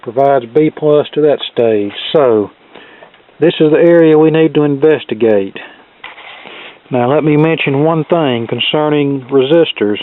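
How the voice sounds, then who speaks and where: A man giving a hands-on repair tutorial as an instructor, explaining each step in a methodical, measured way. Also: on the high side. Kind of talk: hands-on repair tutorial, talking through steps. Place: indoor cluttered electronics workbench. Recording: thin phone-like band-limited sound.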